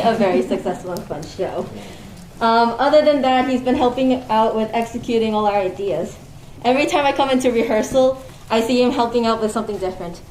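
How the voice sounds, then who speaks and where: A woman's voice: a little high, darkish tone. A young woman giving an informal thank-you speech in an echoey performance space. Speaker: student theatre member giving thanks. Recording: echoey room with faint noise.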